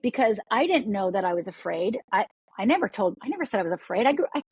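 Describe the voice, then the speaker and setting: A woman's voice: medium pitch, very animated, fast, husky, no echo. A woman from Upper Michigan, a podcast guest, talking with energy and often repeating words as she restarts sentences. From Upper Michigan, USA. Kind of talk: podcast interview.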